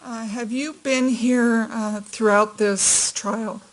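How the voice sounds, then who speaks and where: A woman attorney questioning a witness in a courtroom in a measured, gentle way. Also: a little high, fairly even intonation, low-quality recording.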